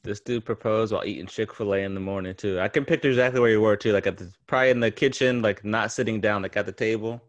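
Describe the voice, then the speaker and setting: A man's voice: fairly low pitch, steady tone, fast, hardly any pauses, highs cut off. A man in his late twenties hosting a casual podcast chat, teasing his friend and swearing freely. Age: 20s. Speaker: podcast host.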